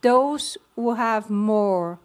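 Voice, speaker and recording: A woman's voice: fairly high pitch, animated, extremely slow. A woman, a religious sister, speaking in an interview soundbite.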